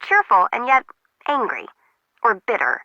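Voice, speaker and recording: Quirky, anxious young female radio operator for a game: animated, slangy, slightly muffled radio sound. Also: on the high side, unhurried, no echo. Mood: dry, chatty. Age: young adult. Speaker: harbor control tower operator. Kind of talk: video game voice acting.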